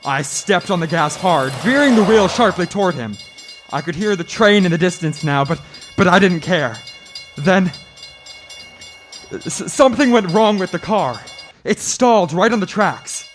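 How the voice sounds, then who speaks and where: A man's voice: sits high, lively intonation, measured pace, soft background music. A man playing a haunted, increasingly frantic cross-country driver in a radio drama, stammering and urgent as he speaks and narrates. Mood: panicked dread. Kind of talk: old-time radio drama acting with first-person narration.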